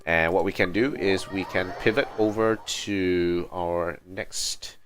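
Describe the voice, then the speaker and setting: A man giving casual, low-key live commentary as he streams a game, often muttering to himself. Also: steady tone, slow pace, a bit bright, a little hiss or hum. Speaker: game livestreamer. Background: game music.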